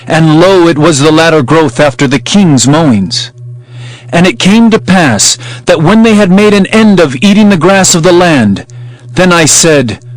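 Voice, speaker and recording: A man's voice: high-pitched, dry recording, rough recording. A man playing an earnest, humble herdsman prophet, speaking solemnly and declaiming in a dramatized scripture reading. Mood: awed, then pleading.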